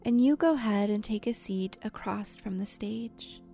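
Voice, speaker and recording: Woman doing guided meditation, soft n soothing, dark mellow tone, creaky, takes her time, bit of quiet music behind. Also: medium pitch. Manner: soothing, gentle, unhurried with pauses. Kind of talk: guided imagery meditation narration. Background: soft background music.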